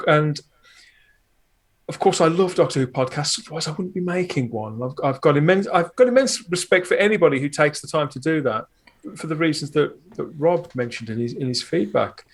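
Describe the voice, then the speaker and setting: A man's voice: a little high, animated, a little fast. A man chatting casually as a podcast guest, effusive and rambling as he talks. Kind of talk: casual chat.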